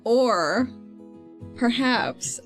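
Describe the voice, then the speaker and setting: A woman's voice: a little high, animated, extremely slow, soft background music. A woman playing a tabletop role-playing game, chatting casually and joking as she improvises. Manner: playful, joking.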